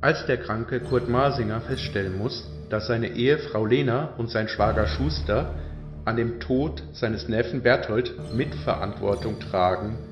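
Male narrator for crime audio drama, medium register, echoey over clear music. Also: mid-range voice, a little slow, muffled recording. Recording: roomy echo, music underneath.

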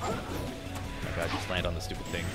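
Man, low voice, young adult, opinionated game streamer, casual rambling commentary, music playing clearly behind him. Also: completely flat delivery, fairly quick. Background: video game music and sounds.

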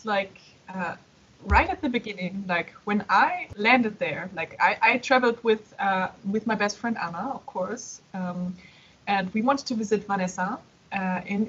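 A woman's voice: medium pitch, fairly bright tone, a little hiss or hum. A young woman guest on a podcast, chatting casually and animatedly, full of "like" and "you know". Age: young adult. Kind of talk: casual interview chat. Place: Berlin. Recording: dull lo-fi sound.

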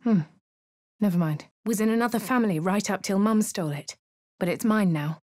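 An actress with a posh English accent playing a composed adventurer in a game, speaking in a dry, measured, lightly sardonic way. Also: female voice, mid-range voice, a little mellow, dry recording, lightly acted. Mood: wry. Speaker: player character. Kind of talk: game voice lines. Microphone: studio mic.

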